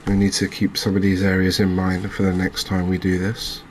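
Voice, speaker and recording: A man with an English accent giving soft-spoken ASMR game commentary in a hushed, unhurried, hesitant manner. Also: deep voice, completely flat delivery, slight background noise. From England. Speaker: gaming video host.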